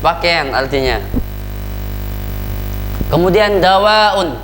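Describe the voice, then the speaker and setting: A man's voice: high-pitched, lively intonation, takes it slowly, fairly bright tone. A man with an Indonesian accent teaching an Arabic grammar lesson, quizzing the class on words and tagging his sentences with "ya". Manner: drills vocabulary, asks the class questions, many "ya" tags. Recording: long hall reverb, faint mains hum.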